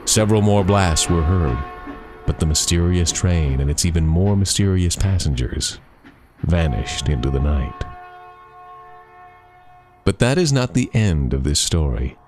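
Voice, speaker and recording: A man reading a scary story as a storyteller, with suspenseful, deliberate dramatic phrasing. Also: low-pitched, animated, music in the background.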